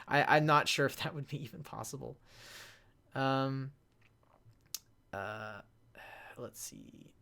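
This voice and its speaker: Creaky-voiced man rambling through a casual Q&A. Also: mid-range voice, pushed and bright. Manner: halting, rambling, self-interrupting. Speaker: panelist, web series creator answering fan questions. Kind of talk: casual live Q&A, reading questions aloud.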